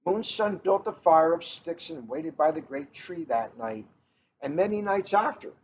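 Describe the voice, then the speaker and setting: A man reading a children's story aloud in an expressive, dramatic way, drawing out key words for emphasis. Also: fairly high pitch, unhurried. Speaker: storybook reader. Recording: noisy, dull lo-fi recording.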